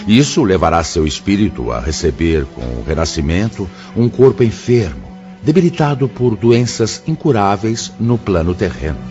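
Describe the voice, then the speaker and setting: An actor playing a wise, grave spirit mentor in a radio drama, speaking in a measured, formal, fatherly way. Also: on the low side, big pitch swings, mellow. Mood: grave, counselling. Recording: slightly muffled radio drama with music.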